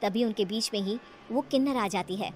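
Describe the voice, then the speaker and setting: A woman's voice: medium pitch, brisk, a little hiss or hum. A woman storyteller reading scripted bedtime story narration.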